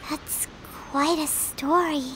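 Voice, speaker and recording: A woman voicing a tiny, naive, childlike fairy companion in a video game, squeaky, earnest and hesitant. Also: steady tone, takes it slowly, background noise. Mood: puzzled. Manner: hesitant, simple, refers to herself by name. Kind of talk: scripted video game voice acting.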